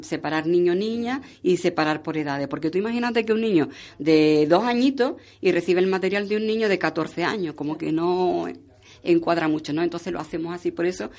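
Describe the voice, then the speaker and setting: A woman talking as a charity guest, explaining and telling anecdotes conversationally, often checking for agreement. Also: on the low side. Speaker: charity coordinator or volunteer guest.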